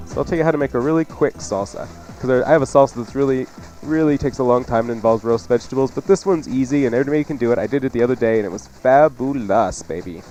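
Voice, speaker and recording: A man's voice: mid-range voice, darkish tone. Chatty man cooking on radio, music behind. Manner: joking, chatty, folksy. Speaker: cooking show host. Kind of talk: casual radio cooking chat with recipe instructions. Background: music under the talk.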